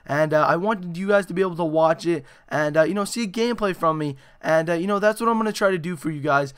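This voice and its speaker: A young man with a hoarse, raspy voice, giving excitable gameplay commentary full of "you know" and "uh". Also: a little high, fast talker. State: hoarse after a concert. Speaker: gaming channel host. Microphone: desktop microphone.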